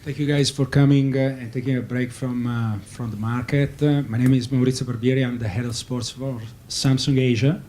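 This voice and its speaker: A man's voice: mid-range voice, steady tone, fairly quick, few pauses, dark tone, a little hiss or hum. A man, hesitant with many fillers, introducing himself as a panelist. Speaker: panelist, company executive. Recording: echoey room, faint ambience.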